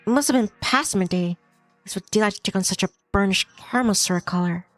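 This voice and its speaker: A woman's voice: medium pitch, quick pace, no room sound. A woman streamer reading a visual novel aloud as story narration, now and then stumbling over a word and rereading it. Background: music behind the voice.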